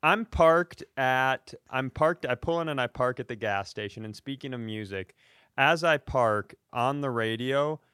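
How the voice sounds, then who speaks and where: A man telling comedic stories in casual chat as a podcast host, with animated, profane ranting. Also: mid-range voice, unhurried, smooth, dry recording, studio quality.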